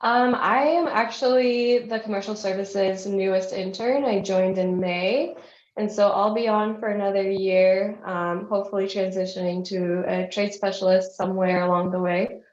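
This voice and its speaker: A woman's voice: mid-range voice, steady tone, moderate pace. A young woman in her twenties, an intern born in Ukraine and raised in Denver, talking in a friendly way and laughing often over a video call. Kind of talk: casual chat. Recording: dull, roomy video call audio.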